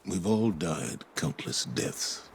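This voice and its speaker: An actor playing a solemn, grief-stricken veteran commander in a video game cutscene, speaking with weight and halting care. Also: male voice, expressive, slight background noise. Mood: solemn tribute. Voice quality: very deep, gravelly.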